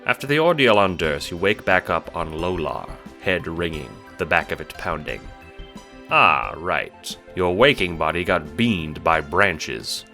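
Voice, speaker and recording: Deep-voiced man narrating an audio drama. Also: expressive, unhurried, bright, forceful tone, faint music behind. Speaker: narrator and writer. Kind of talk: scripted second-person audio drama narration.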